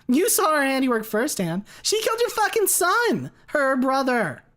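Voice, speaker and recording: A man voicing a grieving, deranged man who screams and laughs wildly, his voice cracking high. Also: takes it slowly. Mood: hysterical. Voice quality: shrieking, cracked, manic laughter.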